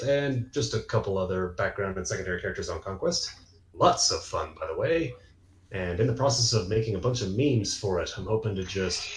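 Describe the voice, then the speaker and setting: A man's voice: sits low, noisy background. A man chatting casually in a group call, speaking as one of the panelists. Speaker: voice actor, reaction panelist. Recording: roomy, echoey voice call.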